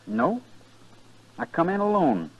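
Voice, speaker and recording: An actor playing a stubborn, defiant sixteen-year-old cowhand with a rural Western American accent and terse delivery in a 1950s radio western. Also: male voice, a little high, sing-song, takes it slowly, husky, exaggerated voice. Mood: sullen. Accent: rural American West. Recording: dull vintage radio recording.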